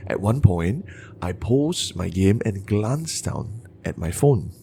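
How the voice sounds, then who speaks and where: A man narrating bedtime horror stories in a deliberate, dramatic way, with pauses. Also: on the low side, animated, creaky, warm tone, slight background noise.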